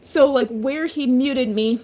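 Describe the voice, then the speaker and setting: Woman, slow, halting confessional vlogger; low, smoky, damaged voice with heavy vocal fry. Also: darkish tone. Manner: halting, restarting, emotional. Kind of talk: confessional personal vlog.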